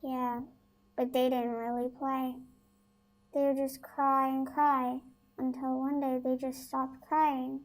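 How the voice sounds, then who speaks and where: A woman playing an eerie, subdued little girl in a radio play, speaking with childlike flatness. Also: sits high. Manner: slow, flat, childlike delivery.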